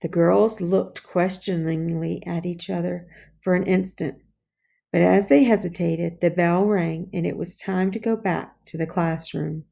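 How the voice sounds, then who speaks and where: A woman's voice: on the low side, steady tone, measured pace, gravelly, warm tone. A woman reading a children's storybook aloud as scripted audiobook narration. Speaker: storybook reader.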